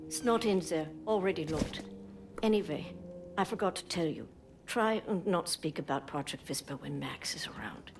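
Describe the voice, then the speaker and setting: Resistance leader for game drama: female, low voice, resolute, commanding, loud score underneath. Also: animated, moderate pace, music in the background. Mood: quiet, confiding. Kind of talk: dramatic video game acting.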